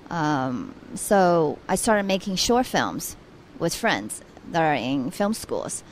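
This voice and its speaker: A woman's voice: low-pitched, big pitch swings, slow pace, slight background noise, rough recording. A young adult woman from Taiwan, a guest on a radio interview, speaking earnestly with frequent restarts.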